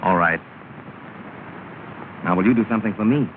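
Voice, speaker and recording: A man plays a proud young suitor with a low voice, earnest one moment and sharp and sarcastic the next. The sound is tinny and hissy, like a 1930 film reel. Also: normal speed, lightly acted. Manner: earnest with her, curt and sarcastic with rivals.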